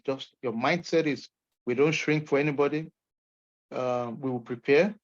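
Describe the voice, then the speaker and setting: A man from Nigeria with a Nigerian accent, an instructor answering questions in an online session in an emphatic, encouraging way. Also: medium pitch. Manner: encouraging, emphatic, folksy asides. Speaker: course instructor. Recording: muffled lo-fi call audio.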